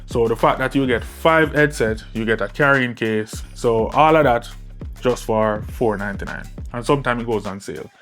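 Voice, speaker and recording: A man reviews gear in a casual, conversational way at an ordinary middle pitch. He often tags his points with a quick check-in question. Clear music plays behind his voice. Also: fairly bright tone. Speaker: product reviewer. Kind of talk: casual product review to camera.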